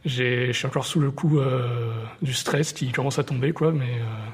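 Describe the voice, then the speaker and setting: A man answering informally, a little tired, haltingly searching for words. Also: mid-range voice, steady tone, husky. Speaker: workshop participant reading a novel aloud, then interviewed. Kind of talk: hesitant informal interview answers. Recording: noticeable background noise, room ambience.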